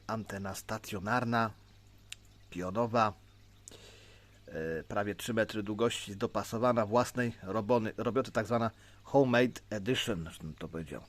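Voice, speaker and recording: A Polish man giving an informal, unscripted hands-on gadget demonstration as a hobbyist, explaining in a halting way. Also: fairly low pitch, a little hiss or hum. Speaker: CB radio hobbyist presenter.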